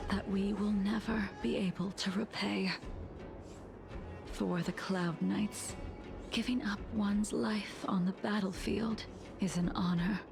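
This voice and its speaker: An actress playing a solemn, grieving veteran swordswoman in a video game, with a soft, breathy, restrained delivery. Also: medium pitch. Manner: slow, measured, grave. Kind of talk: English-dubbed video game story acting.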